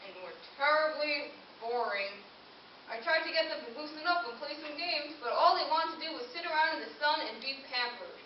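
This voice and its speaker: A woman playing an eager, proud, odd-looking pet dog who tells his own adventures in a children's puppet show. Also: sits high. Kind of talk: first-person storytelling in a children's puppet show. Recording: echoey room, distant mic, dull, slight hiss.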